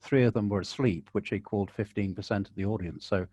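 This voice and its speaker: A man giving calm, measured advice and answering questions in a video-call webinar, with a dry, wry way of talking. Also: fairly low pitch, slightly rough. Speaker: guest conservationist.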